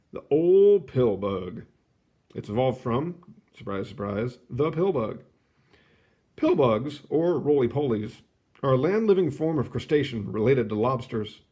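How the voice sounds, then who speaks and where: A man reading a children's nonfiction book aloud, phrasing it clearly and adding short casual asides. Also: mid-range voice, darkish tone. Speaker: read-aloud host.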